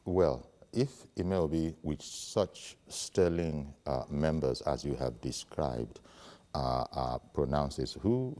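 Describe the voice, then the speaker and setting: A man's voice: very deep voice, mellow, big-room sound. A man hosting a morning news show, asking probing, polished questions in a studio. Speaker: TV news host.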